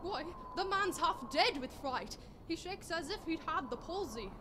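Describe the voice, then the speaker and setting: A fearful horseman in a radio play, played by a woman. The voice is very high, anxious and superstitious, with loud ambient effects around it. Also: expressive, unhurried, background noise. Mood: uneasy. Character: fearful, superstitious horseman begging to turn back. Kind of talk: radio drama acting.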